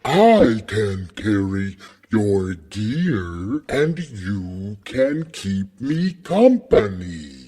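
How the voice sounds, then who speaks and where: A man voicing a huge, easygoing storybook giant with drawn-out, ponderous, pleasant speech. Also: fairly low pitch, sing-song, warm tone, breathy edge, voice pushed down, full character voice. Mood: slow, friendly. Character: huge lumbering friendly fairytale giant, easygoing and slow.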